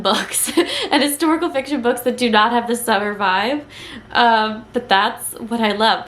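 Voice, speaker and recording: A woman's voice: mid-range voice, fairly bright tone, roomy sound. A young woman with a congested, nasal voice chatting casually about books she read, gushing with lots of ums and likes. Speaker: book vlogger. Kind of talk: casual book review chat.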